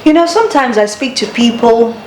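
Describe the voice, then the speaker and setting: A woman's voice: fairly high pitch, animated, fairly quick, over music. A woman hosting a TV interview, asking probing, teasing questions. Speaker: TV show host. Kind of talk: casual interview talk. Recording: echoey studio with background noise.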